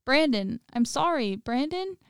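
A terrified teenage boy in a horror story, put on by a woman reader. He pleads in a shaky, whimpering way. The sound is smooth and dry. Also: a little high, measured pace, a little mellow, no room sound, pitched above the performer's own voice. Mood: desperate pleading. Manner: quivering, whimpering pleas.

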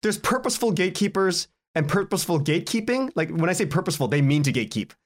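A man speaking as a podcast guest in casual interview chat, informal and halting as he thinks aloud. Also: high voice, sing-song.

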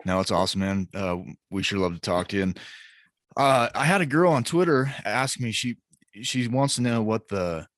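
A man's voice: on the low side, expressive, moderate pace, dry recording, clean studio sound. A man hosting a podcast in a loose, joking way, drawling out casual questions to his guest. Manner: joking, loose, laughs easily. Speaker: podcast host.

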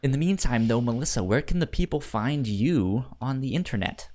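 A man co-hosting a casual review podcast, with a stutter that repeats sounds and a halting, easygoing delivery. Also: mid-range voice, lively intonation, mellow. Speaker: podcast co-host.

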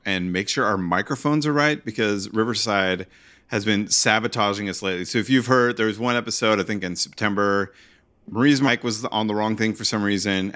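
Easygoing man, slightly low voice. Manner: relaxed, laughs often, short agreements. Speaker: podcast co-host. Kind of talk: casual chat.

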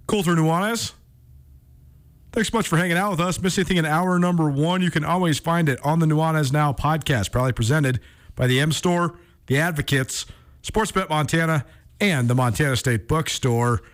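A man's voice: on the high side, normal speed. A man hosting a sports radio show in a casual, conversational way, recorded in a studio. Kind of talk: casual radio talk and sponsor reads.

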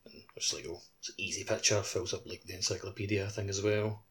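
Low, fairly even-toned man chatting. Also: a little fast. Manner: rambling, heavy use of "like". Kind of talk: casual chat.

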